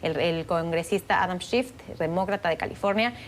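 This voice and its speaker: A woman offers analysis on a news panel. Her voice is a little low, and she speaks hesitantly, searching for terms. There is faint background noise. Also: normal speed. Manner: hesitant, feeling for words. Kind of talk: unscripted news panel discussion.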